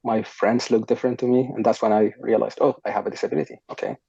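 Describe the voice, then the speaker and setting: A man's voice: on the low side, steady tone, fast, creaky, warm tone, husky, no echo. A young man from Sudan, a podcast guest, answering thoughtfully and a little hesitantly with many fillers, speaking over a headset on a video call from home. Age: young adult. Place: home room, sitting on a sofa. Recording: dull lo-fi video call audio.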